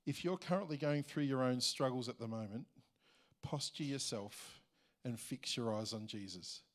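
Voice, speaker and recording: A man's voice: medium pitch, moderate pace. Man reading an earnest testimony. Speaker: church member sharing testimony.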